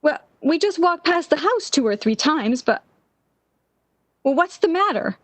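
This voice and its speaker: A woman playing a distraught, obsessive lovesick woman in a 1940s-style radio drama, with an intense, halting, theatrical delivery. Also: high-pitched, big pitch swings, no room sound. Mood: anxious.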